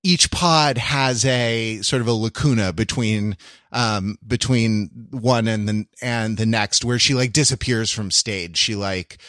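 A man's voice: on the low side, measured pace, fairly bright tone, no room sound, clean studio sound. A man co-hosting a casual podcast, explaining things in a rambling, analytical way. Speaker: podcast co-host.

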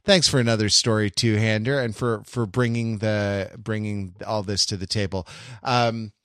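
Low-voiced man, halting podcast host. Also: steady tone, fairly bright tone, no room sound, clean studio sound. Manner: halting, rambling, thinking aloud. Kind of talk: casual podcast conversation.

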